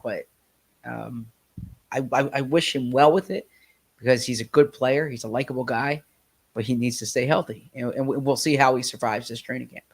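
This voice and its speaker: A man co-hosting a sports podcast in casual chat, slightly nasal from a cold, talking haltingly with many restarts. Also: mid-range voice.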